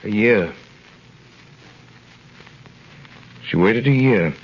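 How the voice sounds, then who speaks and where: Casting: brooding aristocratic avenger, deep voice, stately 1930s radio delivery, dull vintage broadcast sound. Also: male voice, animated. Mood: shaken. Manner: measured, grave, theatrical. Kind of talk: 1930s radio acting and dramatic narration.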